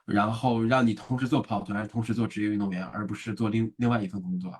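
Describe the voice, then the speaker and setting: Fast-talking husky male host. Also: on the low side, steady tone, a little mellow. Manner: stammers and repeats words, asks long questions. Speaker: podcast host. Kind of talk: casual interview chat.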